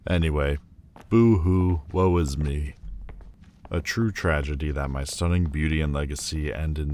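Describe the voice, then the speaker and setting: Man playing a very deep, haughty fallen demon lord. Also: a little mellow, slight background noise. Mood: wistful, self-mocking. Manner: haughty, theatrical, hesitant pauses. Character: proud, wounded male demon lord turned mortal. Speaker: audio roleplay voice actor. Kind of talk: dramatic ASMR roleplay monologue.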